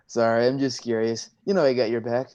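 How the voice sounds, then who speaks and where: A man's voice: mid-range voice, level tone, fairly quick, darkish tone, highs cut off, natural voice. A man playing a laid-back, teasing young sea god with surfer slang in a staged play reading. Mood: playful.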